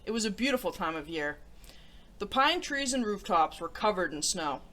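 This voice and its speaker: A woman hosting a children's story show, reading aloud in an animated, expressive way. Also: medium pitch, moderate pace.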